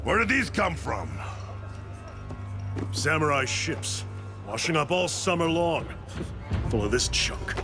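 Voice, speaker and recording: An actor playing a brash, scornful, greedy Viking raider in a video game, exclaiming in a gravelly voice. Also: male voice, on the high side, very animated, slow, long pauses, exaggerated voice. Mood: amazed, scornful. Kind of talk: dramatic video game cutscene acting. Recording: music over the voice.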